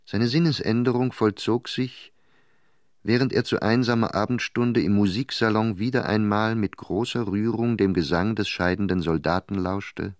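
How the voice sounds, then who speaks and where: A man's voice: on the low side, steady tone, moderate pace, dry recording, studio quality. A man narrating a literary radio drama.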